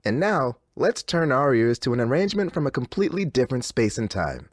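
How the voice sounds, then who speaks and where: A man's voice: mid-range voice, sing-song, fairly quick, dry recording, clean studio sound. An actor playing a theatrical, uneasily cheerful male radio DJ in a scripted game broadcast. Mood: smooth, introspective. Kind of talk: scripted radio host patter.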